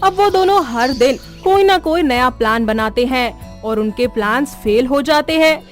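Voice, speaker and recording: A woman reading scripted narration for an animated moral story. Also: high-pitched, measured pace, soft background music.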